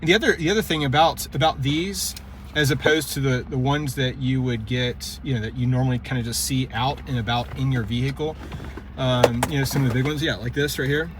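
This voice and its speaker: A middle-aged man gives an unscripted product demonstration as a vlog host. His delivery is casual and meandering, with halting phrases that he often repeats as he goes. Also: medium pitch, steady tone, noisy background.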